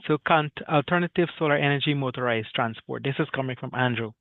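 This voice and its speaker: A man moderating a webinar, briskly reading out audience questions and handing the floor to the next person. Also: medium pitch, no room sound. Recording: tinny dry phone-like line.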